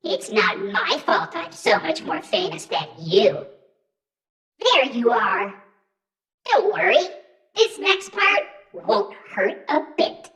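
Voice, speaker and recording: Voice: mid-range voice, sing-song, takes it slowly, sharp tone, reverberant, faint music behind, exaggerated voice. An actor voicing a vain, menacing animatronic rock star in a video game, haughty, showy and theatrical. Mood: smug.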